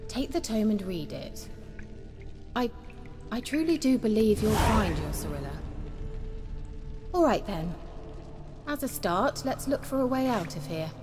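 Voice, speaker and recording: A woman's voice: mid-range voice, sing-song, measured pace, long pauses. A woman acting a self-assured, cultured sorceress in a video game, articulate and theatrical, with a slyly teasing, coy manner. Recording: long reverb, music behind the dialogue.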